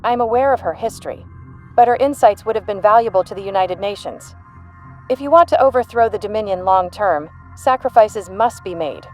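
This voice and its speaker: A woman's voice: medium pitch, brisk, faint music behind, lightly acted. A woman playing a shrewd, unflappable human intelligence general in an audio drama, composed, dry and authoritative. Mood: businesslike.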